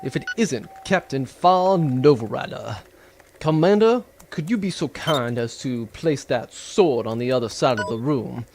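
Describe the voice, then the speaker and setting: A man's voice: mid-range voice, expressive, measured pace, a little mellow, a little hiss or hum. A man playing a scheming villain baron in an audio adventure, condescending, menacing and mockingly polite. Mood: smug, mocking. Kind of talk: audio adventure drama acting.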